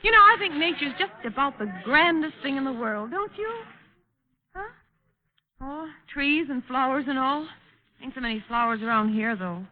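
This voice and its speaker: An actress playing a flirty, easygoing, simple-minded blonde, gushing with affected gentility, in a 1940s radio drama. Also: female voice, high voice, animated, moderate pace. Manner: gushing, coquettish, affectedly genteel. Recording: tinny old radio, music behind.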